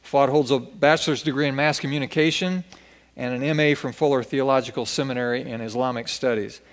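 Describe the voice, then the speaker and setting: A man's voice: medium pitch, steady tone, fairly quick. A man reading a formal introduction of a guest speaker to a church congregation. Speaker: church pastor.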